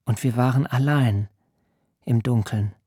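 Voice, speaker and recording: An actor playing Jakob, a young bridegroom, telling of his wedding night in a measured, hushed, intimate radio-drama reading. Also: medium pitch, slightly rough, dry recording, studio quality, soft background music. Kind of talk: literary radio drama, first-person narration.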